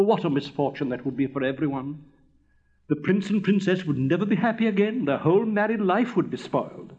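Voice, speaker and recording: Man acting a pompous, high-voiced vain rocket. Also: a little mellow, lo-fi sound, close to an everyday voice. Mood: self-important. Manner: pompous, severe, indignant. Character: pompous, vain, self-absorbed male firework rocket, easily offended.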